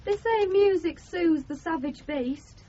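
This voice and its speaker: An actress playing a sweet, eager, shy young bride with a Northern English accent in a radio drama, speaking tenderly and gushingly. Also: female voice, extremely high pitch, unhurried, mellow, a little hiss or hum. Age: young adult. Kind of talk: 1970s radio drama acting. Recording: muffled 1970s radio broadcast, music behind.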